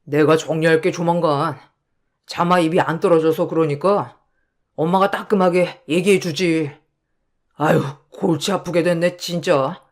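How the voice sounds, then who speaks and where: A woman's voice: low voice, fairly even intonation, measured pace. A woman voicing a cold, self-pitying young husband who sounds weary and evasive as he complains. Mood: grumbling.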